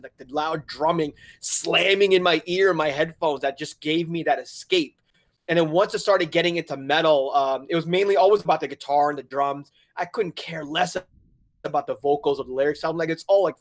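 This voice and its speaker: A man in his forties from Hong Kong, a website founder telling his story in a casual interview, animated and laughing often as he acts out his own past thoughts. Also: on the high side. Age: 40s.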